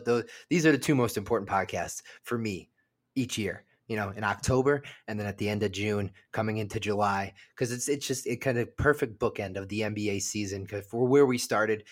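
Low-voiced illinois guy on a sports pod, rambly, talks fast. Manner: rambling, quick, lots of restarts. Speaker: podcast co-host. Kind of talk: casual sports podcast chat.

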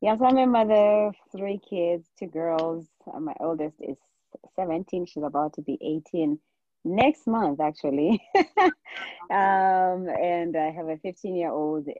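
Low-voiced Zimbabwean woman, muffled sound. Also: no echo. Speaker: podcast guest, nurse practitioner. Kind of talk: casual interview chat.

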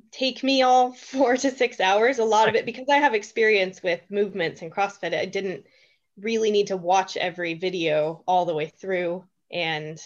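Frank woman coach, muffled audio. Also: medium pitch. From Pennsylvania, USA. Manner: frank, hesitant, searching for words. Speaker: gym coach. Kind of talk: casual interview answers.

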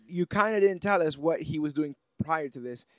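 A male podcast co-host with a fairly high voice. He recaps in a loose, conversational style, recorded very dry with no room sound. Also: normal speed, a bit bright, no echo. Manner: conversational retelling with acted-out paraphrases. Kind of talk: casual chat, cartoon recap.

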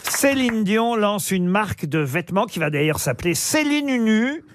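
High-voiced teasing male radio host. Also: sing-song, dry recording, some chatter behind. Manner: teasing, quick to joke. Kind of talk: lively panel show hosting. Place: radio studio.

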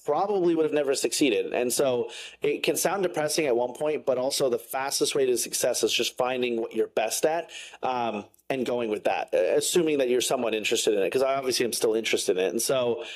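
Fast-talking frank man. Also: mid-range voice. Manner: fast, rambling, frank, many fillers. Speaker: guest, science content creator. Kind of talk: casual interview talk.

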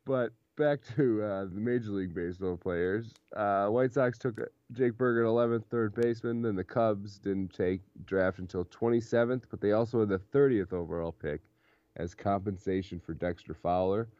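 A man's voice: fairly low pitch, normal speed, a little mellow, dry recording. A young man co-hosting a casual sports podcast, chatting and laughing often between points. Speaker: podcast co-host. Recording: dull, dry lo-fi recording.

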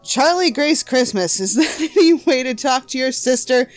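A woman's voice: high-pitched, animated, moderate pace, faint music behind. A woman voicing a stern mother who scolds her daughters in a firm, raised voice. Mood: scolding.

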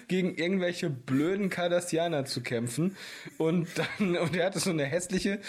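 A man's voice: on the high side, husky. A man co-hosting a podcast, rambling and joking in casual banter, with a mild Berlin accent. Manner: rambling, joking, prone to tangents. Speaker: podcast co-host. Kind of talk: casual banter between friends.

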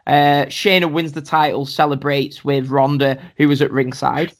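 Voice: mid-range voice, flat delivery, normal speed. Chatty podcast host. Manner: chatty, rundown of matches with asides to co-hosts. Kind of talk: casual wrestling podcast chat.

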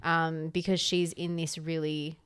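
A woman's voice: sits low, level tone, measured pace, a bit bright, clear, resonant tone. A woman co-hosting a podcast in casual talk, chatty and full of "like" and "um". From Filipino heritage. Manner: chatty, fills with "like" and "um". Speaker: podcast co-host. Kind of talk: casual chat.

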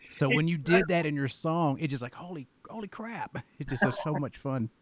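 A man's voice: fairly high pitch, moderate pace, crowd noise. A man in his forties hosting a casual interview, telling jokey stories in a chatty way. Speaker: podcast host.

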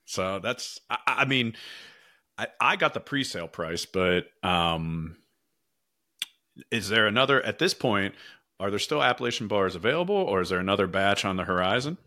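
Male podcast host, American, low-pitched but bright and forward, self-deprecating, close dry sound. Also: expressive, moderate pace, no room sound. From Pittsburgh, United States. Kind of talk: casual podcast interview chat.

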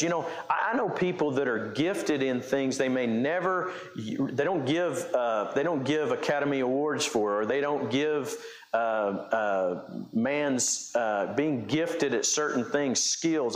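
A man's voice: mid-range voice, slow. Man preaching in an echoey room. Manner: conversational preaching with frequent restarts. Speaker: pastor. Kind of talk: sermon.

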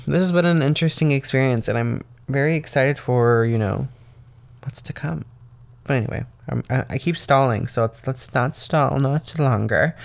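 A young man hosting his own podcast, talking casually and rambling with lots of "um" and "like", his voice slightly raspy and tired from getting up very early. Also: mid-range voice. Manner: rambling, meandering talk full of "um" and "like". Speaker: podcast host. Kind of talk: casual solo podcast monologue.

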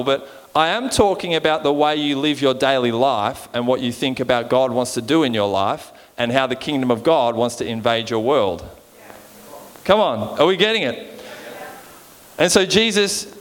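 An Australian man preaching an informal sermon in a conversational way, often checking in with his listeners for agreement. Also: a little high. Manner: conversational, seeks agreement with frequent rhetorical check-ins. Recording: long hall reverb.

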